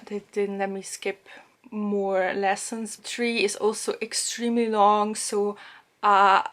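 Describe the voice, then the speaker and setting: A woman's voice: fairly high pitch, fairly bright tone. A young woman from German-speaking Switzerland, a native Swiss German speaker, chatting casually to camera about language apps with frequent ums and little laughs, in a small room. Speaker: language vlogger. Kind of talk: casual app review to camera. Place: small room indoors, plain blue wall.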